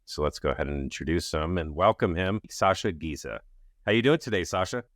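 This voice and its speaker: A man's voice: low voice, lively intonation, brisk, dry recording, studio quality. A man hosting a podcast, talking in an upbeat, enthusiastic way. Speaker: podcast host. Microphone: studio mic.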